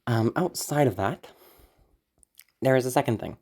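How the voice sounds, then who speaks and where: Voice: medium pitch, expressive, normal speed, a little mellow. A person giving a casual, rambling update to listeners in an anxious, apologetic, hesitant way, swearing casually, with a sick, tired throat. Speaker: audio content creator.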